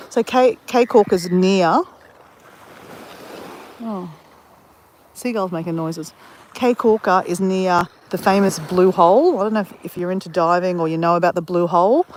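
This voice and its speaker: Australian woman, casual rambling chat, outdoors on a beach, phone mic, faint background noise. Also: fairly low pitch. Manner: rambling, chatty, self-correcting storyteller. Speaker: vlogger.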